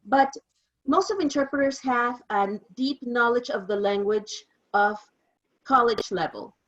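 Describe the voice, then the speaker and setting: Female presenter, 50, Mexican accent, native Spanish speaker, fairly high voice, informal training talk, dull lo-fi sound. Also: a little slow, a bit bright. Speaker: interpreter giving a training presentation.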